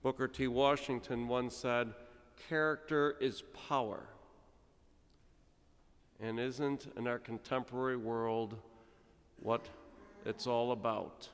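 A middle-aged man, a priest, giving an animated, humorous sermon at a pulpit microphone, with sudden quiet drops. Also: mid-range voice, slow pace, long pauses, smooth. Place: large church, speaking at a pulpit. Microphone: stand mic at pulpit. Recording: long church reverb.

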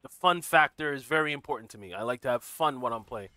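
Stuffy-voiced gamer guy, bright and forceful. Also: medium pitch, lively intonation, fast talker, no room sound. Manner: friendly, greets chat viewers by name; walks through game build details. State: stuffy from a cold, occasional throat clearing. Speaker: gaming livestream host. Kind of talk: casual live gameplay commentary.

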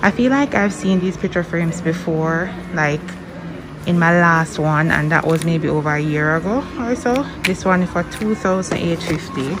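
A woman's voice: lowish voice, moderate pace, background noise. A young woman vlogger from Jamaica, chatting casually as she browses a home decor store, with lots of ums and likes. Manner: relaxed, musing, many ums and likes. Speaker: lifestyle vlogger. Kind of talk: casual store tour voice-over. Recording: music playing under the voice. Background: background music.

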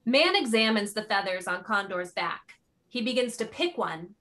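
A woman's voice: mid-range voice, animated, normal speed, fairly bright tone. A woman reading stage directions as the narrator of a play, in scripted narration.

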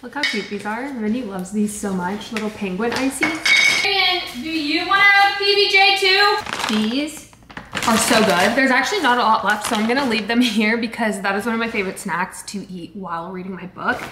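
A woman's voice: fairly high pitch, normal speed. A young woman vlogging at home in a casual, upbeat, gushing way, with lots of "like". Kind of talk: casual vlog narration. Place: home and patio, indoors and out. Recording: echoey with clear background noise.

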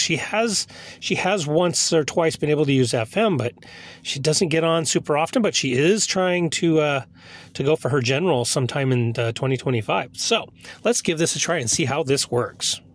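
A man chatting casually as a radio hobbyist and video host, rambling and hesitant, recorded inside a car. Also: fairly high pitch. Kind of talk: casual vlog narration.

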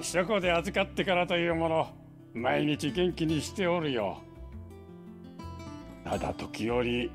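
An actor playing a kindly, grave old scholar with old-fashioned, measured phrasing in a Japanese video game. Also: male voice, mid-range voice, natural voice. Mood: gentle pity. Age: older.

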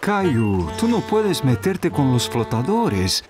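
A patient, reassuring father in a children's cartoon. His gentle, instructive delivery is slow, with very wide swings in pitch. Faint music sits underneath. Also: big pitch swings. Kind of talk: animated children's cartoon dub.

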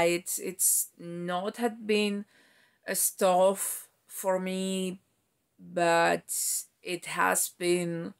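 Smooth, slow-talking woman around forty. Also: fairly low pitch. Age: about 40. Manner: halting, rambling, reflective. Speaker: vlogger. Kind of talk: reflective personal vlog, casual talk.

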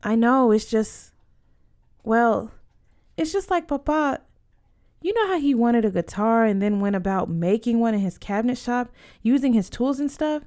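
A woman's voice: on the high side, animated, moderate pace, a little mellow, close to an everyday voice. A woman voicing a stubborn, earnest, curious teenage girl in a storybook, questioning and protesting.

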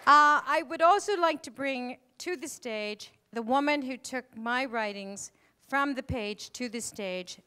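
A woman's voice: sits high, measured pace, hardly any pauses, pushed and bright, clear, resonant tone. A mature woman hosting on stage, addressing an audience and introducing performers through a handheld microphone. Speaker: event host and playwright thanking the cast. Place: conference stage. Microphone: handheld mic. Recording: live hall, faint crowd and noise.

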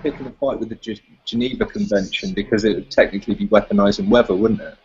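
A man's voice: fairly low pitch, fast talker, a little mellow, husky. A man chatting casually on a video call, thoughtful and a little hesitant. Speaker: call participant. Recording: dull lo-fi video call audio.

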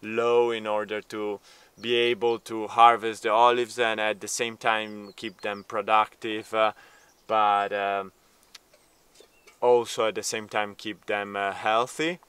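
A man's voice: on the low side, level tone, takes it slowly, fairly bright tone, clear, resonant tone. A man in his thirties, a native Italian speaker, talking casually to camera outdoors in an olive grove with many hesitant fillers. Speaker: vlogger, homesteader. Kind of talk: casual vlog talk to camera.

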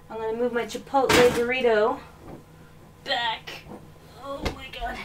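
A woman's voice: a little high, low-quality recording. A woman in her thirties with a faint Arkansas accent rambles through a casual livestream, poking fun at herself as she shuffles things around her bedroom. She drifts on and off a webcam mic, and a faint hiss sits under her voice. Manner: rambling, self-mocking, talks while moving things around. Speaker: livestreaming doll collector. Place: small bedroom full of dolls. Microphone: webcam mic, often off-mic moving around.

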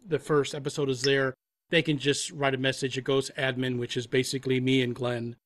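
A man's voice: medium pitch, little pitch movement, brisk, no room sound. A man chatting casually as an interview guest, telling stories with repeated words and asides. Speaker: guest, composer and audio drama creator. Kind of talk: casual chat.

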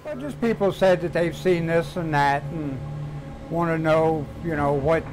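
An older man, a maintenance worker, giving a halting casual interview in a hotel basement. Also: fairly high pitch, noisy background. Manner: halting, folksy storytelling with restarts. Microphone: lapel.

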